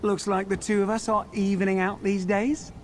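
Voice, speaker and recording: An actor playing a vain, witty fallen dandy in a video game, theatrical and droll. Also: male voice, high voice, level tone, normal speed, slight background noise, lightly acted. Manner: theatrical, self-regarding, droll.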